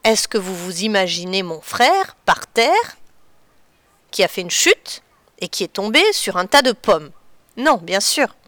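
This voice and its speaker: A woman teacher patiently explaining to children in a clear, deliberate way. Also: medium pitch, big pitch swings, fairly bright tone, no echo. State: slightly stuffy from a cold. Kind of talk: children's lesson on figurative expressions.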